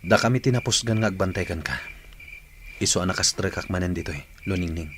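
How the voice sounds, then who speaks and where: A repentant bandit acted by a man in a radio play. He speaks in an urgent, earnest murmur, low and quick, like someone keeping a secret. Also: noisy background, natural voice. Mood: secretive, urgent. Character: regretful male bandit plotting a secret escape. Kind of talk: Ilocano radio drama acting.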